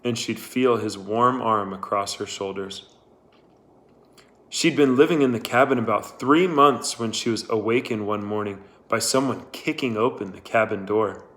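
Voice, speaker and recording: A man's voice: fairly low pitch, moderate pace, a bit bright. A man reading a novel aloud as its narrator. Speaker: audiobook-style narrator.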